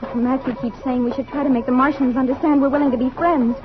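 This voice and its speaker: An actress playing a distraught young heroine in an old radio drama, pleading and melodramatic. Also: female voice, sits high, steady tone, fast, lightly acted. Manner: breathless, pleading. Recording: muffled vintage radio, music behind.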